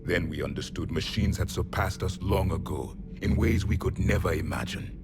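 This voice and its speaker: An actor playing a grim, proud alien warrior, the last of a fallen empire, speaking in solemn, blunt declarations for a video game. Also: male voice, low-pitched, normal speed, lightly acted. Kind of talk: dramatic video game acting. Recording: dramatic music behind the voice.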